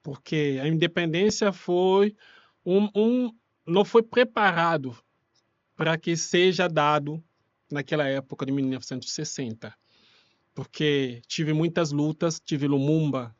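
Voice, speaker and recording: Congolese guy w accent, pretty high voice, not a native speaker, explaining stuff in an interview, dry sound. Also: unhurried, dry recording, muffled recording. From Kinshasa, Democratic Republic of the Congo. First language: Congolese languages such as Lingala. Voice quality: non-native Portuguese with irregular grammar. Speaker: interview guest, refugee rights activist.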